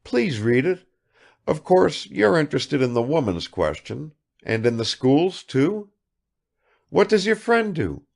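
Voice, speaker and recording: A man's voice: fairly high pitch, sing-song, vocal fry, darkish tone, voice pushed up, full character voice. A man reading aloud and voicing an affected, chattering provincial woman who fires off questions without waiting for answers. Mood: gushing chatter. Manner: gushing, rapid-fire questions.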